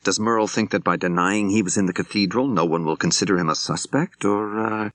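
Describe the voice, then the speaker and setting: A man as a deep-voiced, probing law professor sleuth. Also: no echo, lightly acted. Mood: probing, calm. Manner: direct, measured questioning. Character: probing, level-headed male law professor and amateur sleuth.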